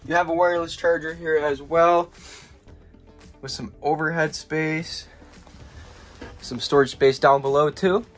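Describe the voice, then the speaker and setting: A man's voice: on the high side, measured pace, long pauses, soft background music. Young man giving a casual trailer walkaround. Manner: relaxed, plainspoken, pointing things out as he goes. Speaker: RV salesman giving a walkaround tour. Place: outdoors at an RV lot, then inside a small trailer.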